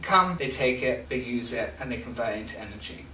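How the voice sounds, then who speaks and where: A man's voice: mid-range voice, lively intonation, brisk, bright, forceful tone, slight background noise. A young man from England who runs a skincare clinic, explaining a treatment to camera in a chatty, informal way with frequent fillers. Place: small clinic room indoors. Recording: roomy, slightly distant camera sound.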